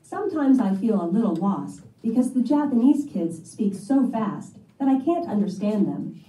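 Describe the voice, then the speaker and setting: A woman narrates a children's first-person story in a very mellow, soft voice. Her intonation is lively, and the sound is roomy and a little distant. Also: mid-range voice, moderate pace. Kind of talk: scripted read-aloud of a child's first-person letter. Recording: echoey playback heard from a distance.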